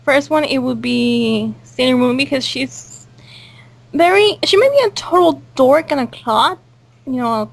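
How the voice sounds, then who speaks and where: Casting: woman with a fairly high voice, hesitant, rambling, casual interviewee. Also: lively intonation, unhurried, creaky. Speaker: cast member in a group interview. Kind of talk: casual chat.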